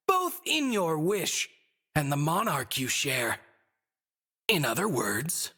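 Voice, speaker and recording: A woman's voice: mid-range voice, gravelly, fairly bright tone, heavily acted. A woman playing a smug, theatrical stuffed-bunny god in a video game, speaking in mocking, riddling, ornate phrases.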